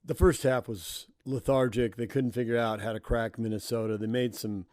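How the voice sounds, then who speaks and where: An American man works as a sports radio host, talking through games and asking questions. His tone is dark and mellow, at a middling pitch. The sound is dry and close. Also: steady tone, normal speed, no room sound.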